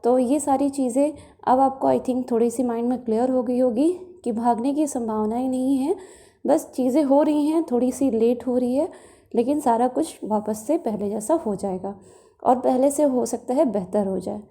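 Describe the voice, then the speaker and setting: A woman's voice: high-pitched, moderate pace, mellow. A woman hosting an update video, explaining things in a reassuring way and mixing English words into her speech. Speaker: video channel host.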